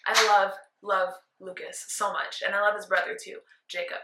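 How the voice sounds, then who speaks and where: A woman's voice: medium pitch, little pitch movement, normal speed, background noise. A young woman in her twenties chatting casually and giggling while she has her makeup done at home. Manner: giggly, rambling, fond of laughing. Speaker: co-host getting makeup done. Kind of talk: casual chat. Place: small indoor room at home. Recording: echoey room.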